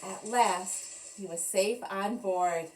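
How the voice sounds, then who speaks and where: A woman reading a children's picture book aloud in an expressive, deliberate storytelling manner with dramatic pauses. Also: medium pitch, recorded from a distance, faint music behind, poor audio. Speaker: storybook reader.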